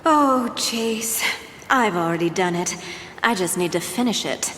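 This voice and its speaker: A woman's voice: mid-range voice, lively intonation, measured pace, fairly bright tone, a little hiss or hum. A woman playing a smug, theatrical crew leader of thieves in an audio drama, teasing and self-assured. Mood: resolute. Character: suave, playful female master thief, crew leader.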